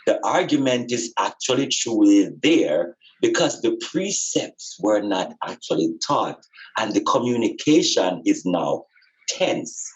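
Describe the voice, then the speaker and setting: A man's voice: lowish voice, sing-song, measured pace, rough recording. A man speaking as a spiritual teacher in conversation, earnest and emphatic, repeating phrases for effect.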